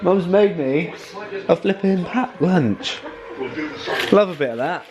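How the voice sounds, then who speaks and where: A man's voice: sits high, expressive, takes it slowly, highs cut off, crowd noise. A man with a cold, chatting casually and jokingly to camera in a vlog. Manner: chatty, jokey, rambling. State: congested with a cold. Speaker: vlogger.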